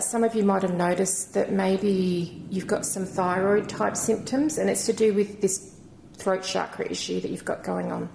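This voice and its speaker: Croaky, gravelly-voiced woman giving a reading. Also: medium pitch, slight background noise. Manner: gentle, rambling, reassuring. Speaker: intuitive card reader. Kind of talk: channelled crystal reading to camera. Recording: echoey room.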